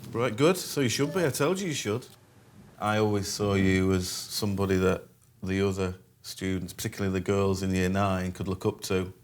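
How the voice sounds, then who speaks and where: A man's voice: low-pitched, moderate pace, slight background noise. A man on school staff talking to a pupil, firm but encouraging.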